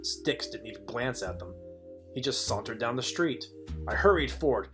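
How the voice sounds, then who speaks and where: Man acting a deadpan noir detective over music. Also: mid-range voice, moderate pace, close to an everyday voice. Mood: wry, deadpan. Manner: deadpan, dryly wry. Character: wry, world-weary male private detective narrating. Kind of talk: film noir parody narration.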